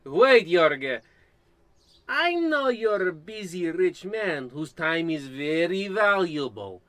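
A man's voice: a little high, a bit bright, no room sound, heavily acted. A man playing a muddled, theatrical old woman, a rambling former teacher, in a parody of old radio drama. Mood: pleading.

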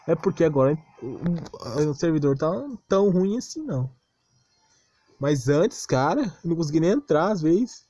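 A man's voice: a little high, lively intonation, moderate pace, mellow, no room sound, faint music behind. A Brazilian man ranting casually and unscripted as a gaming video creator, defensive and exasperated, on a close, cheap-sounding mic. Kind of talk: casual unscripted rant over game footage.

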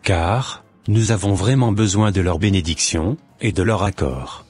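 Deep husky calm young man, voiced by a man. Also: faint music behind. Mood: calm, hopeful. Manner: measured, patient. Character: calm, devout young man hoping to marry. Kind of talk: scripted cartoon dialogue.

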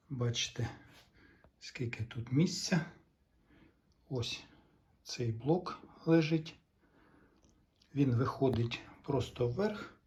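Slow-talking man with a mellow voice. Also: mid-range voice, muffled recording. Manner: halting, thinking aloud in short phrases. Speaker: hobbyist repairer giving a demonstration. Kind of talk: casual hands-on repair walkthrough.

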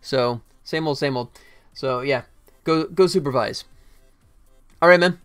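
A man's voice: mid-range voice, measured pace, a bit bright, clean tone, soft background music. A middle-aged man chatting casually and wryly on a livestream. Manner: easygoing, wry, self-deprecating. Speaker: game developer livestreamer. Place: indoor desk, livestream webcam setup.

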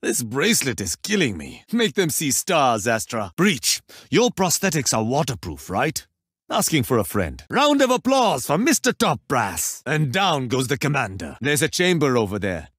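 A man's voice: medium pitch, wildly swooping pitch, normal speed, fairly bright tone, slightly rough, no room sound, studio quality, exaggerated voice. A man with an Indian accent voicing a confident, playful young warrior in a video game, with teasing, theatrical delivery. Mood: rallying, confident. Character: confident, playful male warrior agent wielding water artifact. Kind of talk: video game character voice lines.

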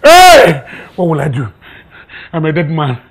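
A man's voice: fairly high pitch, huge pitch swings, measured pace, exaggerated voice. A man acting a desperate, betrayed uncle in a film melodrama, with a Nigerian Igbo accent, stammering and repeating himself. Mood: despairing. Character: desperate, panicking uncle betrayed by his nephew, in hiding.

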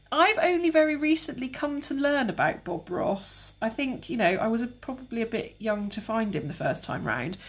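Breathy woman teaching cake painting. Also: fairly high pitch. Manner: calm, relaxed, chatty with viewers. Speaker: cake decorating tutor. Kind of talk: live demonstration with chat.

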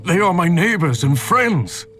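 Game role: earnest, confused old-time hunter, animated pitch, clear music behind. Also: male voice, a little slow, exaggerated voice. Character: bewildered, earnest male hunter from long ago. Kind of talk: video game character acting.

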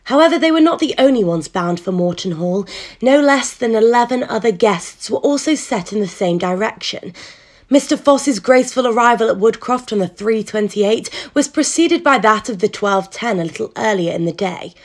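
A woman's voice: fairly high pitch, expressive, normal speed. A woman playing a wry storyteller, narrating a period murder mystery radio play. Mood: wry narration.

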